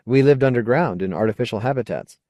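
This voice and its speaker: A man's voice: mid-range voice, fast, warm tone, no echo, studio quality, pitched above the performer's own voice, natural voice. A man voicing a wary, awkward, questioning male character in an audiobook. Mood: skeptical. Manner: hesitant, questioning. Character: wary, awkward man, skeptical former idealist.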